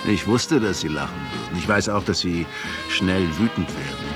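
A detached Argentine writer questions with deliberate insistence. His voice is very low, with faint music in a radio play setting. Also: lively intonation. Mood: probing. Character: probing Argentine writer interrogating a doomed revolutionary, detached. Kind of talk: radio drama acting.

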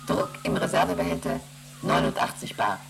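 A man voicing an emotionless onboard computer in a radio play, reading out readings and rules in a flat, mechanical way. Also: low voice, brisk, creaky, noisy background, exaggerated voice. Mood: flat reporting. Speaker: ship's computer.

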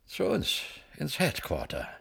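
Commanding spaceship commander, lively pitch. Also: male voice, takes it slowly, gravelly, slightly rough, full character voice. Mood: probing, concerned. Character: commanding, incredulous male spaceship commander and father. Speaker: actor. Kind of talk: German science-fiction radio drama acting.

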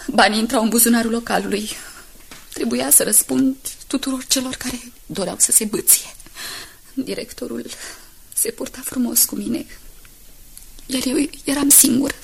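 An actress in a Romanian radio drama playing a frail, anguished young woman who confesses her past haltingly and intimately. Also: a little high, fairly bright tone, heavily acted. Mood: pained, subdued. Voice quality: husky, breathy. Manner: halting, pained, intimate storytelling.

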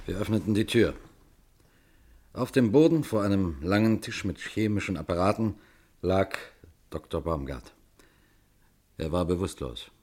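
Deep-voiced colonel telling a mystery. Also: male voice, expressive, a little mellow. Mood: composed storytelling. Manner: measured storytelling, composed. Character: composed male colonel narrating his own mystery case. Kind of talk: scripted radio mystery narration and dialogue.

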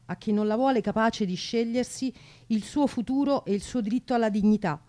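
A woman's voice: fairly high pitch, dark tone, no echo. A woman presenter announcing upcoming events on a radio show.

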